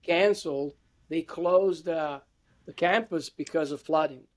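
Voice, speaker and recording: A man's voice: fairly high pitch, a little slow. A man in his fifties from Italy with an Italian accent, answering conversationally as an academic interview guest on a video call. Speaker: interview guest, university lecturer. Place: indoor room with bookshelves, video call.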